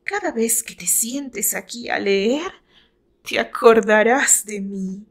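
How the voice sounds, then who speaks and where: Woman acting out a seductive, pleading high-voiced female lover. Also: takes it slowly. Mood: seductive urging.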